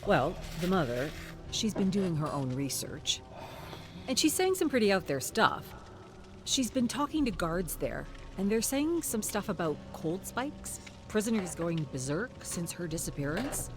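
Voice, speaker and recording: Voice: medium pitch, sing-song, measured pace, pitched above the performer's own voice. A narrator voicing Terrence, a blunt, eager male senior TV producer, over a music bed.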